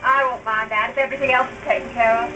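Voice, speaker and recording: An actress playing a quarrelsome young wife in a 1930s melodramatic film style. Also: female voice, on the high side, steady tone, quick pace, dull audio, lightly acted. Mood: calm, conciliatory. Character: nagging young wife, quarrelsome, later contrite. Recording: noisy old film soundtrack.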